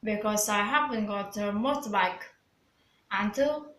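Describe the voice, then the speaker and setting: A woman's voice: mid-range voice, unhurried, a bit bright, reverberant, slight background noise. A woman, a student reciting practice answers in English with care. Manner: plain, careful recited answers. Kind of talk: scripted English speaking practice.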